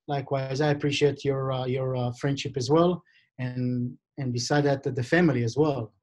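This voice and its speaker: A middle-aged man with an Israeli accent speaks English on a webcam call from an office, at a medium pitch for a man. He talks in a halting way, stopping often with fillers and restarting his sentences as he looks for the words. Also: fairly even intonation, darkish tone. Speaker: diamond expert and vendor. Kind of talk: video call interview. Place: office indoors. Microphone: video call webcam.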